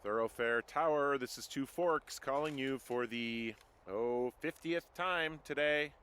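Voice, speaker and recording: A man's voice: mid-range voice, unhurried, fairly bright tone, a little hiss or hum, close to an everyday voice. An actor playing a weary, plainspoken male fire lookout in a video game, speaking in a dry, hesitant, conversational way. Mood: weary, baffled. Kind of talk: naturalistic video game dialogue.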